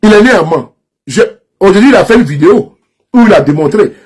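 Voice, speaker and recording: A man's voice: high voice, expressive, brisk, a little mellow, slightly rough, faint music behind. A man from Ivory Coast with an Ivorian French accent, talking straight to his audience in an insistent, mocking, repetitive way, often restarting his sentences. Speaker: social media commentator. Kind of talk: direct-to-camera political rant.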